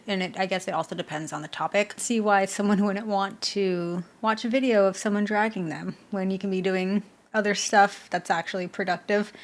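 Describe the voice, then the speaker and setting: A woman from the Bay Area in California hosts a reaction video, chatting casually, laughing often and talking back to the clip. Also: mid-range voice. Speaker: reaction channel host.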